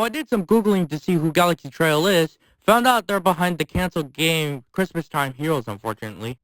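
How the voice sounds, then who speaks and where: Man playing a casual gaming YouTuber, highish voice. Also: fairly bright tone, no echo, rough recording. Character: male gaming YouTuber introducing a game demo. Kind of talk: casual gaming video dub.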